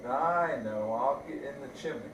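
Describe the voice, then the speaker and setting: A man's voice: medium pitch, moderate pace, dull audio, close to an everyday voice. A big bad storybook wolf, read by an older man, full of menace and bluster. The voice carries from a little way off in an echoey room, with faint noise around it. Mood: scheming. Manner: blustering threats. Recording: roomy, distant mic, faint noise.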